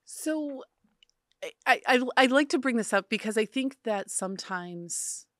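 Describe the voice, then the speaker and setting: A woman's voice: a little high, lively intonation, unhurried, no echo, clean studio sound. A woman with an American accent hosting an interview in a casual, conversational style. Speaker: interview host.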